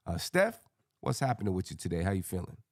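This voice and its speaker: A man hosts a casual sports fan show in a low, gravelly voice. He sounds hoarse and scratchy and talks in loose, slangy phrasing. The sound is dry, with little room to it. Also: sing-song, fast, darkish tone, no room sound. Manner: slangy, colloquial.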